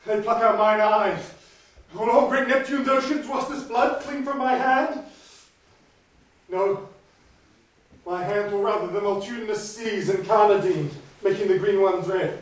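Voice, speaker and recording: An actor playing a guilt-ridden Scottish lord, declaiming Shakespearean verse on stage, recorded from far off in an echoey hall. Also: male voice, high voice, measured pace. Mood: horrified. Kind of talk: stage play performance. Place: theatre stage in a large hall. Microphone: distant room mic.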